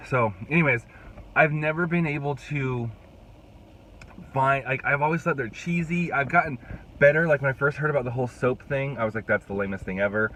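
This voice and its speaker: Young male youth pastor, casual vlog, slightly congested, middle-pitched, phone recording with faint background noise. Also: fairly quick, a bit bright. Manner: rambling, restarts and fillers, chatty. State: congested, fighting a cold, voice rough. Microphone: phone held at arm's length.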